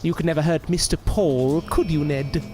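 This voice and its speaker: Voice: medium pitch, fairly even intonation, normal speed, dark tone, natural voice. An actor playing a smug, bullying, malicious master in an audio drama, with a sneering, theatrical way of taunting. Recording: music under the voice.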